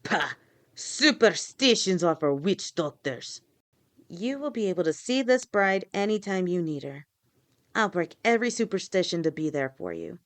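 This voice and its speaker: A woman voicing a gentle, protective snake-woman girlfriend who talks softly and reassuringly to her partner in an audio roleplay. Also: on the low side, animated, normal speed, lightly acted. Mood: playful. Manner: soft, reassuring, speaks directly to a partner.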